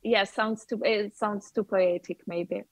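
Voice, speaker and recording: A woman's voice: medium pitch, steady tone, unhurried, no room sound. Chatty woman with a Russian accent. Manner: chatty, laughs often, many fillers. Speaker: artist guest. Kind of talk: casual video call interview. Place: bright room with a wooden ceiling. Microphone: wireless earbuds on a video call.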